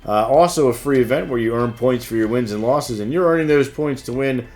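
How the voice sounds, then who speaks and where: A man's voice: medium pitch, brisk, a little mellow, faint music behind. A man hosting a casual news show, hesitant and easygoing as he reads out announcements and banters. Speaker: host of a game shop news show.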